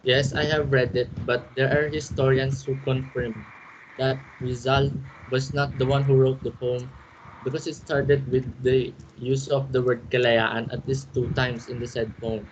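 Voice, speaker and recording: A man with a Filipino accent speaks in a flat, even tone, delivering prepared points in a student discussion. The audio is muffled and dull, with clear background noise and clicks. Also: medium pitch, a little slow. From Philippines. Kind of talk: student focus group discussion. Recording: muffled, noisy recording.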